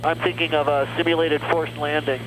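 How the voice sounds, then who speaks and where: A man's voice: on the high side, little pitch movement, a little fast. A man working as a mountain flying instructor, coaching and making radio calls on an aviation headset. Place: small aircraft cockpit in flight. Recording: loud aircraft cockpit noise, radio.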